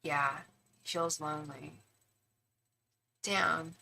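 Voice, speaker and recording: A young woman with a bright, edged voice gives a casual psychic reading on a live stream. She rambles, restarts and speaks straight to her viewers in a chatty, conversational way. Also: low voice, steady tone, slow pace, creaky. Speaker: tarot reader and psychic.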